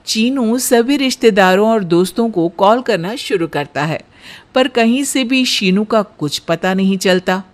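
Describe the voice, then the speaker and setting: A woman's voice: medium pitch, lively intonation, measured pace. A woman narrating a scripted cartoon story as a storyteller.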